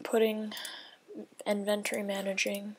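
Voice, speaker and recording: Slow, rambling woman muttering over gameplay. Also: medium pitch, monotone, background noise. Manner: rambling, thinking aloud, often drops to a whisper. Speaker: gaming video creator. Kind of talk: casual gameplay commentary.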